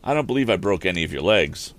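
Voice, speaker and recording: A man voicing an eager young inventor, brisk and helpful. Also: sits low, very animated, fairly bright tone, full character voice.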